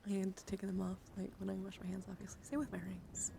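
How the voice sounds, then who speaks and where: A woman hosts an ASMR livestream in a gentle, mid-pitched voice. She chats casually and often drops into whispers, repeating words soothingly. Noticeable background noise sits in the microphone signal. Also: completely flat delivery, fast talker. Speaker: livestream host. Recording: clearly noisy home microphone.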